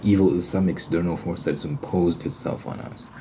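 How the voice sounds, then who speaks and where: A man speaking in a casual, halting ASMR style, explaining religious ideas informally and posing questions as he goes. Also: deep voice, steady tone. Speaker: ASMR video creator. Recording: faint background noise.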